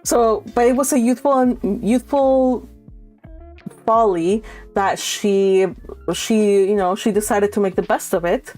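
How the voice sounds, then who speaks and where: A woman hosts a read-along with music playing behind her. She has an informal, chatty way of talking and a mid-range pitch, moving between loose commentary and reading classic prose aloud. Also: steady tone, slow, a little mellow. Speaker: host of a book read-along. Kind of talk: casual live-stream chat and reading aloud of a classic novel.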